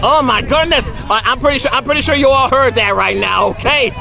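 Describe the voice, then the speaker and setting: A middle-aged man with a hoarse voice, excitedly reporting from a park event and repeating his exclamations. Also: very high-pitched, brisk. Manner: animated, exclaims and repeats himself. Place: outdoor park field at a crowded picnic. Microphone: handheld camera held close. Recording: outdoor crowd with music playing. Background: crowd and background music.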